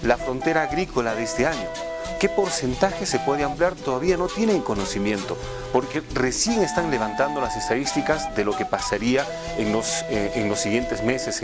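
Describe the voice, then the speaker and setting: A man with a Peruvian accent giving an explanatory spoken presentation, often repeating phrases as he goes. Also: mid-range voice. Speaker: radio administrator presenting a project. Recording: loud music behind the voice. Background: instrumental music.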